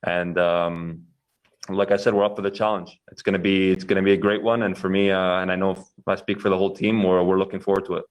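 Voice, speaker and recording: A man's voice: deep voice, flat delivery, fairly quick. A young man, a goalkeeper answering press questions with many fillers and restarts.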